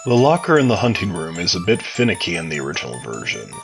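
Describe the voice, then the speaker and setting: A man's voice: low-pitched, wildly swooping pitch, quick pace, creaky, faint music behind. A man giving prepared answers as a podcast interview guest, sounding like he reads them out. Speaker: interview guest, game mod developer.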